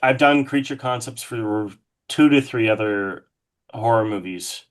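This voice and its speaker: A man's voice: mid-range voice, a little slow. A man speaking casually and enthusiastically as a guest in a conversational interview. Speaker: interview guest, horror artist.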